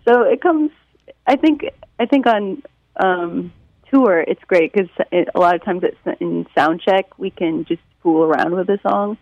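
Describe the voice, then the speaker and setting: Casting: American woman, musician guest, animated casual talker, gravelly creak, close and slightly muffled. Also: lowish voice, measured pace, dry recording. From Detroit, United States. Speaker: musician and songwriter being interviewed. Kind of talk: casual chat, interview answers.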